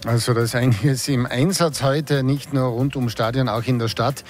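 A man's voice: medium pitch, steady tone, moderate pace, faint music behind. Chatty radio presenter man. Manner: chatty, loose, with fillers. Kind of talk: live radio moderation.

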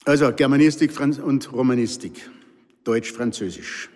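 A man's voice: medium pitch, moderate pace, darkish tone, in a large hall. A man from the Pinzgau region with an Austrian accent, reading his own story aloud with dry, wry humor. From Pinzgau, Salzburg, Austria.

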